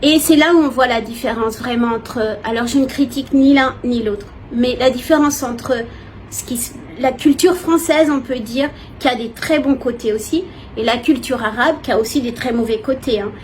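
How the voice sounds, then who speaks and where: A woman from France, giving a personal, expressive and hesitant testimony to viewers in casual talk. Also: on the high side, normal speed. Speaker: vlogger. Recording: clear background noise, ambient sound.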